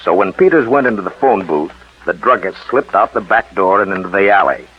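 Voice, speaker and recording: An actor playing a shrewd, steady detective in a 1940s radio drama, assured and insistent as he explains. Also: deep voice, fairly quick. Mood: assured, explanatory. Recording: muffled, husky-sounding 1940s radio recording.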